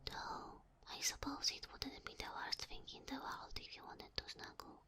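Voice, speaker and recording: A woman voicing a sweet, polite kitsune familiar in an ASMR roleplay, soft and whispery, with formal, bashful phrasing. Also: normal speed, lightly acted. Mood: gentle, devoted. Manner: formal, courteous, slightly bashful. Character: sweet, polite kitsune fox-girl familiar, female, ageless.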